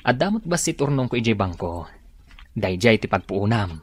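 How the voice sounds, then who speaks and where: A man playing an earnest, tender young suitor in a radio drama, speaking softly and persuasively. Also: lowish voice, sing-song, moderate pace. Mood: earnest, reassuring. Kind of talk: radio drama dialogue acting.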